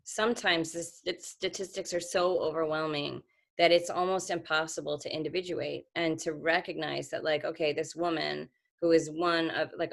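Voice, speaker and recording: A woman's voice: low-pitched, gravelly. A woman author speaking earnestly on a video call, reflective, with frequent restarts. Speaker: author being interviewed.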